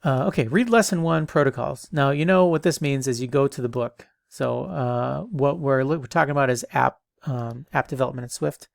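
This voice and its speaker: A man's voice: medium pitch, normal speed, gravelly, few pauses, dry recording, clean studio sound. A man in his thirties giving a casual online lecture as an instructor, rambling with many ums and restarts, a bit stuffy from allergies.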